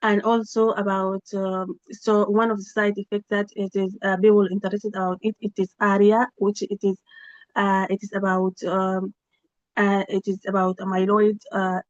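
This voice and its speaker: A woman researcher reports on a project in a flat, even voice, halting often with fillers and restarts. She sounds dry and a little dull through the video-call audio. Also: medium pitch, dry recording. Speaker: researcher giving a project update. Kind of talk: spontaneous meeting report.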